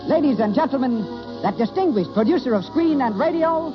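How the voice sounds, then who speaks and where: A man's voice: very high-pitched, expressive, fairly quick. A man announcing a 1930s radio show in a formal, proclamatory showman's manner. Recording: dull 1930s radio recording, music behind.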